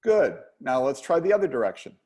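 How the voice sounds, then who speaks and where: A man playing a patient, gently teasing dance instructor of sixty-one in a play reading. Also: mid-range voice, sing-song, brisk, natural voice. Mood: encouraging, instructive. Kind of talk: play reading over video call.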